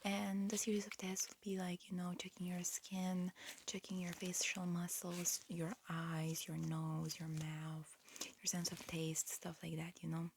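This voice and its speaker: A woman voicing a polite alien examiner in human guise, whispering softly and hesitantly in an ASMR roleplay. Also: lowish voice, level tone, measured pace, gravelly, noisy background. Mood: politely procedural. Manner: soft, hesitant, politely procedural.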